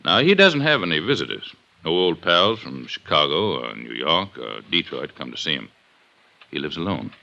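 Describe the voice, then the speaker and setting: A gruff, gray-haired police inspector, very low and hard-edged, with lively swings in his blunt, insistent questioning. He is a middle-aged man in a vintage radio detective drama. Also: moderate pace, exaggerated voice. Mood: explaining, insistent. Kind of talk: 1950s radio drama acting. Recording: dull vintage 1950s radio recording.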